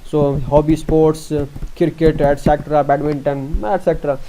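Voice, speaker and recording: A young man from Pakistan with a Pakistani accent, explaining an online form step by step, halting often with fillers and restarts. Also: fairly high pitch, steady tone, mellow, slight background noise. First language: Urdu. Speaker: tutorial presenter. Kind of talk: screen-recorded walkthrough.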